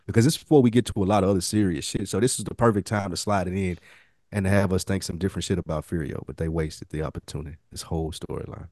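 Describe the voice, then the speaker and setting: Podcast panelist, American man, lowish pitch, very dry sound, blunt and slangy in casual TV chat. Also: steady tone, fast, a little mellow, no room sound. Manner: blunt, colloquial, profane. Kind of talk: casual TV show discussion.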